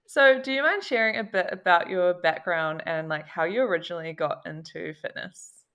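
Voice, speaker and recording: A woman's voice: lowish voice, moderate pace, fairly bright tone. A woman with a New Zealand accent hosting a casual podcast interview in an enthusiastic, supportive way. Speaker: podcast host.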